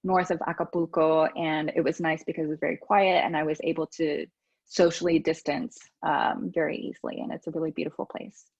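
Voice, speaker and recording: Low-voiced Texan woman hosting a podcast. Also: fairly quick, creaky, slightly rough. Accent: Texas. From United States. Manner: relaxed, with frequent ums and restarts. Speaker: podcast host. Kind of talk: casual conversational interview. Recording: dull lo-fi audio.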